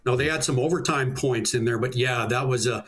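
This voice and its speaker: Middle-aged Canadian male, sports announcer as guest, medium pitch, folksy and conversational, casual reminiscing. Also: brisk, a bit bright, husky. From small-town Saskatchewan, Canada. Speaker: sports public address announcer, interview guest. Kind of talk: casual interview chat, reminiscing.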